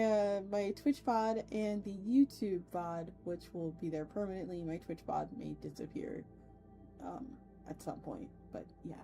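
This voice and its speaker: A woman hosting a livestream, chatting casually with her viewers in a playful way, with chatty asides and drawn-out emphasis. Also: mid-range voice. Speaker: VTuber streamer host. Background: soft ambient music.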